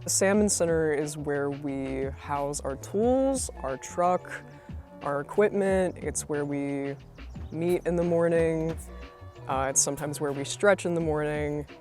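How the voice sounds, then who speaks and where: A woman in her twenties from North Carolina, a crew's media officer, explaining clearly in documentary narration. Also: low-pitched, unhurried, darkish tone, soft background music. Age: 20s. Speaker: media officer, crew assistant supervisor.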